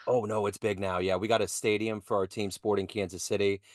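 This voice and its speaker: Low-voiced upbeat male podcast host. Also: flat delivery, fairly quick, no room sound, clean studio sound. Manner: upbeat, conversational, enthusiastic about local sports. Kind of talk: casual interview questions.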